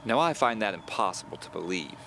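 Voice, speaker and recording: A man's voice: on the low side, sing-song, a little fast, vocal fry, background noise. A man voicing a cheerful, chatty, nosy woman of about seventy in an audio drama, with a folksy, fussing manner. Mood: nosy, teasing.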